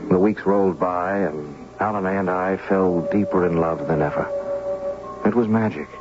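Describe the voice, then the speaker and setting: A man's voice: low-pitched, moderate pace, music in the background, close to an everyday voice. An actor playing a lonely, preoccupied man in love, earnest and hesitant, in a 1970s radio drama. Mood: brooding narration. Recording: muffled, noisy 1970s radio recording.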